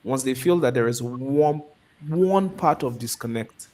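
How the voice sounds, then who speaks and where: A man's voice: mid-range voice, animated, measured pace, darkish tone. A man hosting a live stream and interviewing a guest, who often repeats words and restarts his sentences. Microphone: video call.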